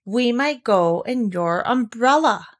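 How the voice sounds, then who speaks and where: A woman's voice: fairly high pitch, lively intonation, slow pace, pitched above the performer's own voice. A woman voicing a proud, simple, earnest male toy bear in a children's storybook, explaining things plainly. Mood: hopeful.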